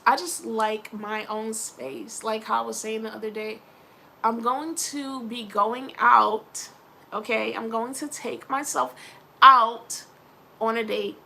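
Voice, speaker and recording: Young woman, Philly accent, casual rambling vlog talk, gravelly fry, bright forceful tone. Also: on the high side, slight background noise. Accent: Philadelphia. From Philadelphia. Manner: chatty, rambling, laughs often, faith talk. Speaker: vlogger.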